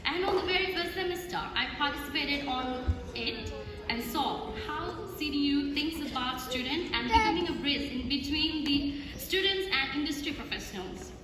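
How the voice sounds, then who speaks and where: High-voiced woman speaking in echoing hall. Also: lively intonation, normal speed. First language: not English. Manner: earnest, grateful, listing achievements. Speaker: graduation valedictorian speaker. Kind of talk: prepared speech. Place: large ceremony hall. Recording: echoey hall from far off, noisy.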